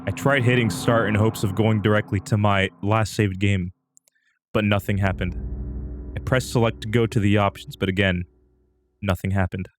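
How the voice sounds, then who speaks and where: A man's voice: on the low side, steady tone, normal speed. A man livestreaming a dramatic reading of an amateur horror story. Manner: breaks off into amused asides.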